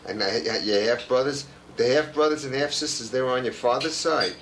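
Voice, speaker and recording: A man's voice: mid-range voice, a little fast, noisy background. A middle-aged man interviewing his father-in-law at home, teasing him and restarting his questions. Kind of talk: home video family interview. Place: home in a retirement community.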